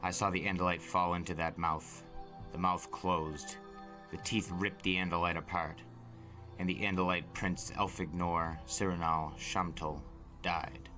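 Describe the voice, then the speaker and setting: Male dramatic narrator: low, sharp-edged, intense, deliberate audiobook delivery over music. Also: flat delivery. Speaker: storyteller, podcast narrator.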